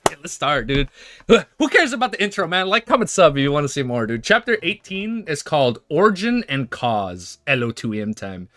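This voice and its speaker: Young man, twenties, streamer, bright forceful voice, slightly high, dry close mic, rambling, swears. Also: no echo. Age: 20s. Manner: rambling, swearing, quick to laugh. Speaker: livestream host. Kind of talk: casual livestream chat and reading aloud.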